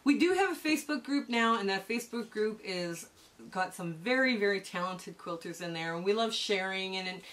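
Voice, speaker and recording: A middle-aged woman hosting a quilting lesson, chatting warmly while she sews, her voice starting to go hoarse. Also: medium pitch, expressive, normal speed. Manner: chatty, homey, talks while sewing. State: voice going hoarse. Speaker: quilting channel host. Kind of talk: casual sewing tutorial.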